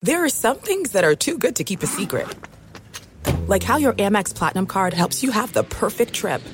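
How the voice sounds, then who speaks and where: A woman reading a smooth, persuasive scripted commercial. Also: medium pitch, sing-song, moderate pace, noisy background. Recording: commercial with clear music.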